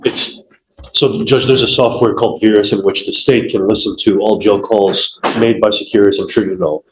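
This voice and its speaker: Low-voiced male prosecutor in echoey room. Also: steady tone, moderate pace, slight background noise. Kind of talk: courtroom explanation to a judge. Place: indoor courtroom. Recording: echoey courtroom audio.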